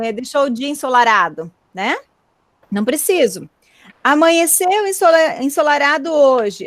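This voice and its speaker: A woman teaching an online class from home, her voice set high and captured dry through a computer mic. She explains each rule patiently and keeps checking that her students follow. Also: no echo. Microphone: computer mic on a video call.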